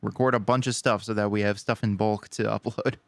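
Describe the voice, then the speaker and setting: Gravelly low-voiced young man chatting. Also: a little fast, dry recording. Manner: relaxed and rambling, with many fillers. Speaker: podcast co-host. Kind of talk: casual chat.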